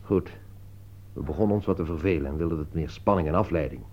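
A man acting an articulate, insistent gentleman who persuades and commands as he defends a friend in a Dutch radio play. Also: very deep voice, fast talker, slight background noise. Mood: measured explaining. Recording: dull, lo-fi radio play recording.

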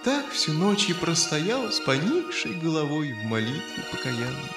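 A man narrating children's fairy tales as a storyteller, in an expressive scripted style. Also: a little high, normal speed, reverberant, music in the background.

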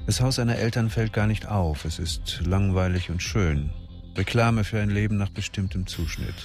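An actor narrating a crime radio drama as a sombre storyteller, in a measured, literary manner. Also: male voice, lowish voice, a little mellow, soft background music, natural voice. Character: sombre storyteller following a detective. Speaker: third-person narrator.

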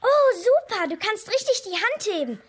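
A female performer playing a chirpy, secretive little schoolgirl in a radio drama, childlike and excitable. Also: very high voice, normal speed, slight background noise. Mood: excited. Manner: excitable, childlike, drops into conspiratorial whispers.

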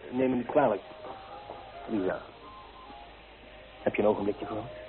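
A kindly, fatherly newspaperman with a fairly low voice, played by an actor in a radio drama. He consoles and reassures, with small thoughtful hums. The old recording sounds narrow and tinny. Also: normal speed, over music, natural voice. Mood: concerned, gentle. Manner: reassuring, paternal, murmured hms. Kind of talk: Dutch radio play acting.

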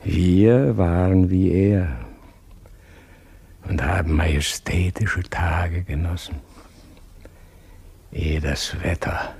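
An aging, brooding king in a radio drama. The voice is deep and extremely breathy, moving slowly through grand, poetic phrases that often sink into a hush. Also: exaggerated voice. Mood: wistful, proud. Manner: majestic, declamatory, with breathy hushed phrases. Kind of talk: German radio play acting, poetic monologue.

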